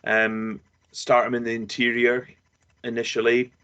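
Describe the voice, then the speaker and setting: A man co-hosting a casual football draft panel, pausing often with lots of ums. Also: mid-range voice, monotone, pushed and bright, clear, resonant tone. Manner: hesitant, many ums, laughs easily. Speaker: draft analyst, co-host. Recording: dull lo-fi audio.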